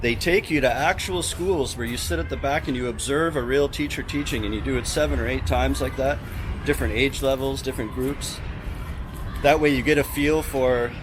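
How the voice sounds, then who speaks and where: A man's voice: medium pitch, normal speed. Chatty Canadian man outdoors. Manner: chatty, relaxed, many fillers. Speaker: vlogger, English teacher. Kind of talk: casual vlog talk to camera. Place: outdoors in a city street. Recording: outdoor street noise behind.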